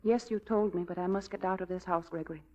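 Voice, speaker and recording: A woman's voice: lowish voice, fairly even intonation, fast talker, darkish tone, natural voice. Woman acting a fragile, frightened wife, old radio. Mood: quietly determined. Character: frightened, fragile wife doubting her own sanity. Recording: dull vintage radio recording, faint music.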